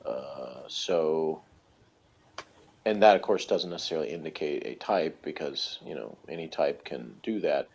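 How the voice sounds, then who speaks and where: A man with a very low voice chats analytically and casually, feeling his way through each thought. He is tentative and often hesitant. The recording is muffled and lo-fi. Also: measured pace, gravelly, a little mellow, husky. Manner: hesitant, tentative, thinking aloud. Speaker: guest reviewer discussing TV characters. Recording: dull lo-fi voice recording.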